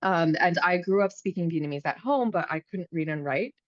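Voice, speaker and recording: Woman, thirties, from the US, podcast host, chatty, fillers, lowish voice, dull dry sound. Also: animated, fairly quick, no room sound. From United States. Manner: chatty, with many fillers and restarts. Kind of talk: casual conversation.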